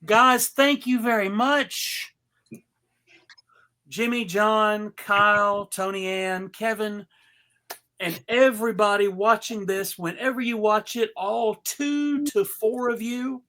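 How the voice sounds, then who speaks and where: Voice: medium pitch, takes it slowly, bright, forceful tone. A host narrating a casual live script reading and chatting with a wry, joking manner. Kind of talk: casual live table read with chat.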